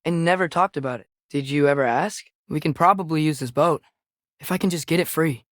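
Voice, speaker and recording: A man's voice: fairly high pitch, fairly quick, a bit bright, no room sound, studio quality, lightly acted. A young man voicing an earnest, curious teenage boy in a video game, speaking naturally and conversationally. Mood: awed, curious.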